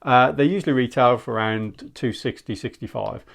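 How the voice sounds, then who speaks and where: A man's voice: medium pitch, normal speed, gravelly. A man giving a casual, candid product review in a conversational, rambling way. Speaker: airsoft gear reviewer.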